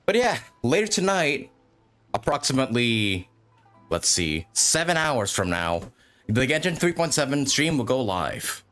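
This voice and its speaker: A man's voice: medium pitch, sing-song, measured pace, fairly bright tone, faint music behind. A man hosting a game livestream in casual, chatty, rambling talk, getting over a lingering cough. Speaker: game streamer host.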